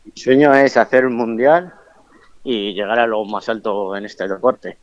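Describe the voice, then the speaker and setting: A man's voice: fairly low pitch, fairly even intonation, a little slow, dry recording, lo-fi sound. A man in his twenties from Colombia, speaking with a Bilbao accent, a professional boxer giving short, shy answers in an interview. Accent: Bilbao Spanish. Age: 20s.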